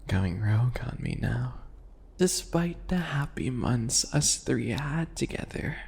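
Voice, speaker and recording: A man voicing a smug, teasing, obsessive bunny alchemist in an ASMR roleplay, taunting in a mocking, drawn-out, breathy way. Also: on the high side, darkish tone, full character voice. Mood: mock-innocent taunting.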